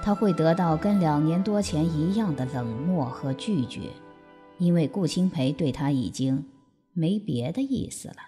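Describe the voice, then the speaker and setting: Woman narrating radio drama, soft dark tone, low pitch, scripted read. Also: lively intonation, a little slow, faint music behind.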